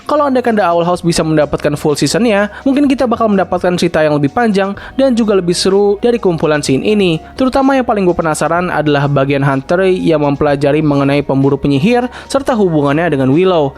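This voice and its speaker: A young man with an Indonesian accent delivers a casual recap commentary. His voice sits quite high for a man and he talks quickly. The wording is informal and conversational, explaining things point by point. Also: animated, darkish tone. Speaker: video reviewer and recap narrator.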